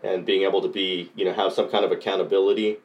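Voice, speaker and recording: A man's voice: low voice, level tone, quick pace, highs cut off. A middle-aged man from Costa Rica answering interview questions about changing careers, hesitant with many fillers and restarts. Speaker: coding bootcamp graduate.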